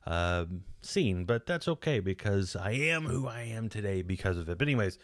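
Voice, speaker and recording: A man's voice: on the low side, lively intonation, moderate pace, no room sound, clean studio sound. A man in his forties with a Texan accent, chatting casually as a podcast host in a rambling, chatty way with lots of restarts. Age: 40s. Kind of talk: casual solo video commentary. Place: small home studio room. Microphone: boom-mounted studio mic close up.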